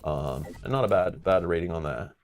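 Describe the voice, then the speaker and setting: A man's voice: low voice, moderate pace. A man hosting a live board game stream, talking in a casual, rambling way with lots of fillers and frank asides. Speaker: board game channel host.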